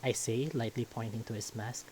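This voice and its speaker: A man's voice: medium pitch, steady tone, normal speed, dark tone, slight background noise. A man reading a visual novel aloud as first-person story narration.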